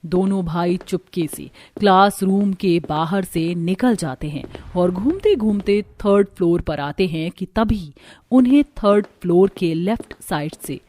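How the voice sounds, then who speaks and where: A woman's voice: fairly low pitch, animated, a little slow, a little mellow. A woman narrating a scripted cartoon story as its storyteller.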